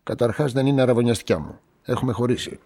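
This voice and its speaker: Actor as a gravelly, hostile shopkeeper. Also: male voice, medium pitch, dark tone, natural voice. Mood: curt, hostile. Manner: curt, dismissive. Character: gruff, hostile male shopkeeper. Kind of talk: radio play acting.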